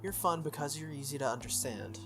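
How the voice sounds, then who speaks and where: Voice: mid-range voice, fairly quick, a bit bright, lightly acted. A reader voicing a confident, bossy schoolgirl. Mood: teasing. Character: confident, teasing, bossy teenage schoolgirl mage. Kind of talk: visual novel dialogue read aloud. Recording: music under the voice.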